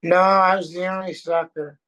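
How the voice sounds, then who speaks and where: A man, a veteran speaking hesitantly as an interview guest, with many pauses and fillers. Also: high voice, level tone, fairly bright tone. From born in Austria, raised in Brooklyn and France. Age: 70s. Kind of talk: casual chat.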